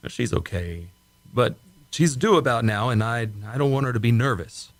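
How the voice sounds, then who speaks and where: A man's voice: medium pitch, animated, moderate pace, dry recording, close to an everyday voice. A man playing an easygoing, wisecracking test pilot with a casual, wry delivery in a retro sci-fi radio play. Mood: offhand, fond.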